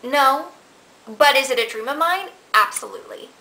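A woman's voice: sits high, normal speed. A woman in her twenties telling stories in a casual question-and-answer vlog, talking from her living room to a camera in front of her. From Nebraska. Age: 20s. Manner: chatty and animated, gestures with hands while telling stories. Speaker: vlogger. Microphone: camera in front at desk distance.

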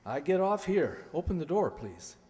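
Man playing an animated, impatient bus passenger. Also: a little high, normal speed. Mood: polite, insistent. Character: polite but increasingly impatient male bus passenger. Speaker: amateur radio-play actor. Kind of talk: old-time radio comedy acting. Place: large indoor stage hall, stand mic. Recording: reverberant hall, stage microphone.

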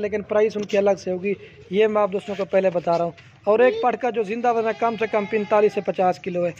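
A man with a Pakistani Urdu accent gives a casual sales walkthrough of his goat farm, repeating himself and often checking "theek hai". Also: sits high. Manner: repetitive, salesy, keeps saying "theek hai". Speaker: goat farm seller showing animals. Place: outdoor farmyard beside a garden. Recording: slightly muffled phone audio, faint outdoor ambience.

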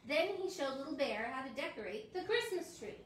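A woman in her thirties reading a children's picture book aloud to a small audience, a lively storyteller. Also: sits high, a little fast, slight background noise. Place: hotel lobby with Christmas tree and seated guests. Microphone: camera across the room. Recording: roomy echo, voice from a distance.

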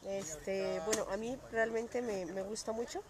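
A woman's voice: medium pitch, fairly even intonation, measured pace, background noise. Chatty Colombian woman in a park. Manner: warm, chatty, with "este" fillers. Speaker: artist and guest. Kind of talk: casual outdoor interview. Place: outdoor park. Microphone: phone camera held close. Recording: crowd chatter behind.

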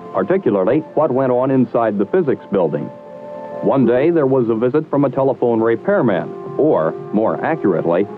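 Muffled 1960s film narrator, formal man. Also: mid-range voice.

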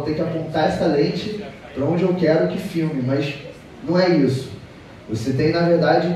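A Brazilian man in his thirties giving an informal technical talk, explaining haltingly with repeated words. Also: a little high, warm tone. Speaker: conference speaker. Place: auditorium stage, seated at a table. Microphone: distant camera in the audience. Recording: echoey hall, distant mic, background noise.

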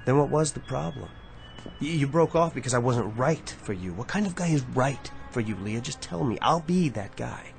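An actor playing a smug, smooth-talking self-made man in a radio drama, confident and insistent. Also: mid-range voice, animated, moderate pace. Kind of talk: vintage radio drama acting. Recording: old radio drama with faint music.

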